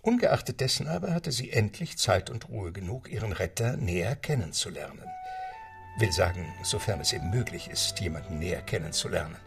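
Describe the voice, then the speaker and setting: Low gravelly guy narrating a radio drama, music playing under him. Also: animated, a little fast. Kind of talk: scripted radio play narration.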